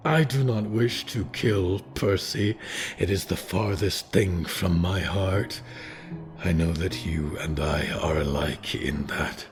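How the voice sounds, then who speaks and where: A man voicing a calm, weary, ancient forest creature who speaks slowly and gravely. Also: low voice, over music. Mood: solemn. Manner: slow, solemn, measured.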